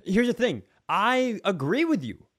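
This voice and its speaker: A man's voice: high voice, very animated, unhurried, fairly bright tone. An American man co-hosting a podcast, telling casual comedic stories in a rambling, self-deprecating way. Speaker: podcast co-host.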